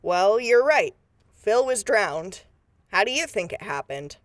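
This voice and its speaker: Woman acting a folksy, nosy townswoman, mid-pitched. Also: big pitch swings, unhurried, no echo, clean studio sound. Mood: suspicious. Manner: folksy, chatty. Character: Tammy, chirpy, nosy, suspicious townswoman. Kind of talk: scripted post-apocalyptic audio drama acting.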